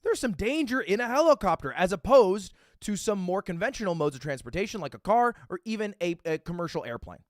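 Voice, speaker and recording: A man in his twenties, a streamer reacting to a video, telling a story with excitable, profane energy and acting out what others said. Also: fairly high pitch, no room sound, clean studio sound. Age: 20s. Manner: excitable, profane, acts out quotes.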